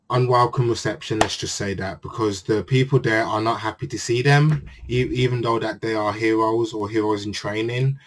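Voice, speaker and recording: A low-voiced man talks casually and earnestly as a channel host recapping a manga chapter. He often stops partway through a phrase and starts it again. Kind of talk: casual spoken review monologue.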